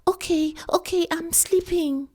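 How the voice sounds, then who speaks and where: A woman's voice: very high-pitched, fairly even intonation, a little slow, a little mellow, pitched above the performer's own voice. A woman voicing a timid, plaintive small girl with a South African accent, pleading with her elder in a short story. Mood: timid, scared.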